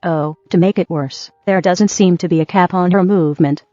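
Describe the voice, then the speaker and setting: A woman with a fairly low voice delivers scripted co-host remarks, sarcastic and needling. The recording is close and slightly muffled, with little room sound. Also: moderate pace, dark tone, dry recording. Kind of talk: scripted banter commentary. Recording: dull dry audio, music behind. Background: background music.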